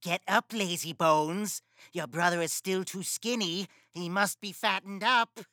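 Lady doing a mean old witch voice, deep and scolding, dry close sound. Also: unhurried, sharp tone, no room sound. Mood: bossy. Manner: sneering, scolding, harsh. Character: cruel greedy old female witch. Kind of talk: storybook reading.

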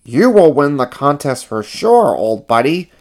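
Storybook casting: kindly male puppet pal, encouraging, plain-spoken, unhurried played by an adult reader. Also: mid-range voice, sing-song, darkish tone, voice pushed up. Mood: pleased. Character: Bert, supportive, kindly male puppet buddy.

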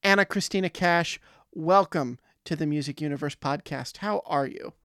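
A man's voice: a little high, moderate pace, fairly bright tone, no room sound, studio quality. A man hosting a podcast, friendly, asking questions with some hesitant "uh"s. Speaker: podcast host. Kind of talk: casual chat.

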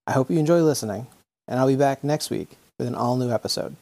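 A male podcast host speaks at an ordinary pitch in a plain, direct way. He keeps his questions short and answers with brief acknowledgments. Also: fast talker, vocal fry. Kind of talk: podcast intro and interview questions.

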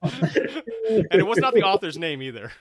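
A man's voice: high voice, big pitch swings, measured pace, lo-fi sound, a crowd in the background. A man hosting and moderating a live-streamed panel discussion in a conversational way.

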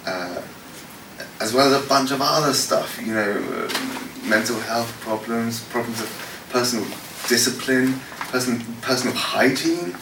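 A man's voice: mid-range voice, a little slow. A man leading a session at a church conference, speaking unscripted with many fillers and restarts. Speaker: worship leader leading a panel session. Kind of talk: unscripted talk answering questions. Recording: echoey room, distant mic, noisy.

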